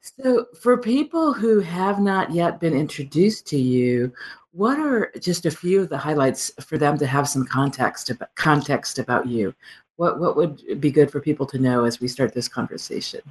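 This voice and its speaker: A woman hosting a radio interview show, chatty and warm, laughing often and thinking aloud. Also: low-pitched, animated, moderate pace. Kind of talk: casual interview chat.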